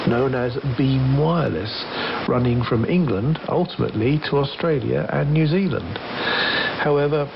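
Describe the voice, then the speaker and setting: A man's voice: medium pitch, vocal fry. A man presenting a radio programme, reading scripted history narration over a shortwave broadcast. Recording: dull shortwave radio reception with heavy hiss.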